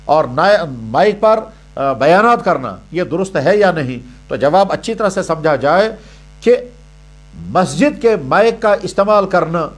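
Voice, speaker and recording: High-pitched man preaching. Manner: didactic, emphatic, repeats points in parallel phrases. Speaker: Islamic scholar answering religious questions. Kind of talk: religious question-and-answer sermon.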